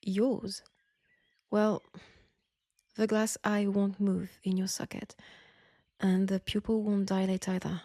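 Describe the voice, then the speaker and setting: A woman acting as a caring French army nurse from the First World War in an intimate audio roleplay. Her voice is mellow and soft with some creak, coaxing and breathy yet firm. It is recorded close and dry. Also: medium pitch, no room sound.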